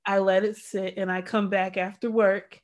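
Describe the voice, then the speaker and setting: A woman hosting a relaxed, informal interview and chatting conversationally with her guest. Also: medium pitch, normal speed. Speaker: podcast host. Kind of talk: casual interview chat.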